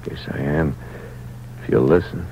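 An actor playing a bitter, weary young ex-convict in a 1940s radio crime drama, with a wry, slangy, hard-boiled manner. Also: male voice, very deep voice, unhurried. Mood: weak, wry. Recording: dull, noisy old radio recording.

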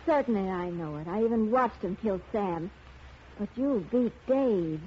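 An American actress playing a brassy, excitable gangster's moll in a 1950 radio crime drama, slangy and brash. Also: female voice, medium pitch, unhurried, natural voice. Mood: awed, defiant. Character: brassy, excitable gangster's moll who worships strong men. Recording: noisy, dull vintage radio recording.

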